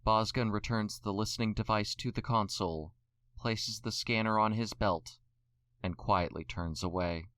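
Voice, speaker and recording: A man's voice: on the low side, moderate pace, fairly bright tone, dry recording, studio quality. A man narrating an audio drama from a script.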